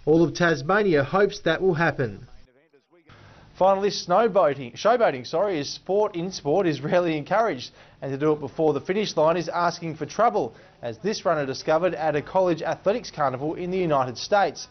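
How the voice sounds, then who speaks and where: A man's voice: on the high side, fairly quick, muffled recording. An Australian man presenting sports news, reading from a script with occasional light banter.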